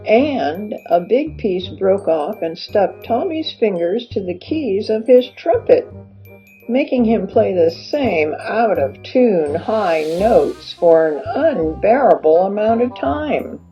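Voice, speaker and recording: Unhurried woman reading a storybook, dull sound. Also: mid-range voice, very animated. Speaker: children's author reading aloud. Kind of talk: scripted storybook narration. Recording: dull lo-fi recording with music behind. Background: music.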